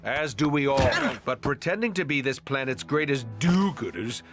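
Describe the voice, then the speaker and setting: An actor playing an arrogant villain leader in a video game, with a smooth, scornful delivery. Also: male voice, medium pitch, animated, moderate pace, faint music behind, natural voice. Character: arrogant male villain leader posing as a hero. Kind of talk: video game cutscene acting.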